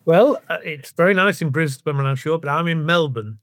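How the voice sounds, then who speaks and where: A man's voice: on the high side, normal speed, fairly bright tone, no room sound. A middle-aged or older man from the North West of England with a Northern English accent, answering as a podcast guest in a casual, discursive way, often restarting his sentences. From Bolton, North West England. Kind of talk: casual conversational interview answers.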